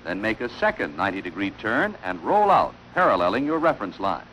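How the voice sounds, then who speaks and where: A man's voice: on the low side, huge pitch swings, fairly bright tone, a little hiss or hum, low-quality recording. Instructional male narrator on old film soundtrack. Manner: measured, formal, instructive. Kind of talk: scripted instructional narration, wartime training film.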